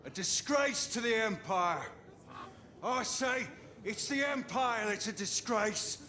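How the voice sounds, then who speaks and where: Casting: defiant rabble-rouser for a game, very high, bright, forceful delivery. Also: male voice, lively intonation, slow pace, a little hiss or hum, heavily acted. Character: angry rabble-rousing male rebel orator. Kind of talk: fiery street speech.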